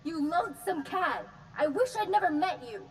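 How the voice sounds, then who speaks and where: A wounded, furious jilted bride in a sci-fi video game, her voice pitched high. She lashes out in bitter accusations. A long reverb and faint ambience color the sound. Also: lively intonation, normal speed, a little hiss or hum.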